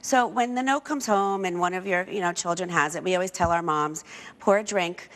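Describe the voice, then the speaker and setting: A woman's voice: on the low side, a little fast, fairly bright tone. Chatty upbeat woman in a reverberant room. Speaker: hair care company co-founder, TV guest. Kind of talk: casual promotional TV interview. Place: TV studio.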